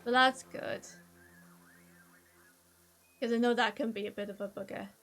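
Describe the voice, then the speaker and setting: Geordie woman, streamer, loose rambling chat, fairly high voice, faint game music behind. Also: fairly even intonation, a little slow, fairly bright tone. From North East England. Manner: rambling, many "like" fillers, self-deprecating. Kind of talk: casual chat while gaming, reading chat.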